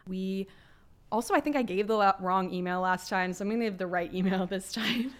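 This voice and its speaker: A young woman co-hosting a casual podcast, chatty and emphatic with youthful slang, her voice a bit lowered as she recovers from flu. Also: fairly quick. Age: young adult. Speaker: podcast co-host.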